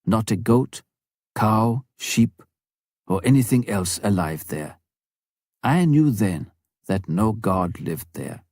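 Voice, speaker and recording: A man's voice: on the low side, expressive, takes it slowly, pauses often, darkish tone, no room sound, studio quality, heavily acted. A man voicing a wizened, solemn and earnest old village chief in a storybook narration. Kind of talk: storybook character quote in narration.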